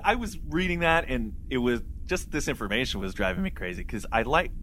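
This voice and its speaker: A man's voice: medium pitch, sing-song, a little fast, a bit bright, a little hiss or hum. A man co-hosting a podcast, talking casually about a book in a candid, self-deprecating, rambling way. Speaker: podcast co-host. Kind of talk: casual book discussion chat.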